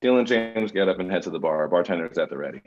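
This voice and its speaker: Deep, husky male narrator, slightly muffled. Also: expressive, fast talker, darkish tone, faint music behind. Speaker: stage-direction reader. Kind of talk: scripted narration for a table read.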